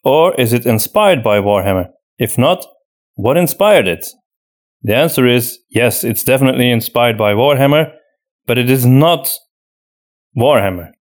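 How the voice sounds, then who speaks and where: A man's voice: mid-range voice, animated, normal speed. A man hosting a podcast in casual unscripted chat, thinking aloud hesitantly as he answers. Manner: hesitant, thinking aloud with frequent "you know". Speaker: podcast host and audio drama creator.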